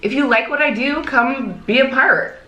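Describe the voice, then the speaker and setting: A woman in her late thirties chatting casually as a guest, telling stories about her life in an animated way. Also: sits high, moderate pace. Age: 30s. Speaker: guest, fashion YouTuber. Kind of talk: casual chat over a meal. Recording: echoey room, faint background noise.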